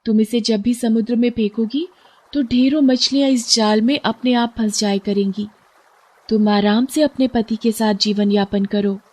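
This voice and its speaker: A woman voicing a kind, grateful mother fish in a cartoon story, speaking gently. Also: a little high, steady tone, normal speed, close to an everyday voice.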